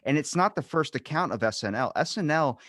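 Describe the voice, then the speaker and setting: A man's voice: a little high, expressive, brisk, fairly bright tone, dry recording, studio quality. A man hosting a podcast, chatting informally in a rambling way and acting out little quoted asides. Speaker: podcast host. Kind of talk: casual chat.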